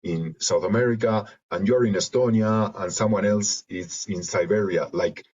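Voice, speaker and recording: A man from Argentina with a Spanish accent, reflecting in an interview in halting, searching phrases over a video call. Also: fairly low pitch, a little mellow, a little hiss or hum. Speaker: interview guest, creative and therapeutic facilitator. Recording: dull lo-fi video call audio.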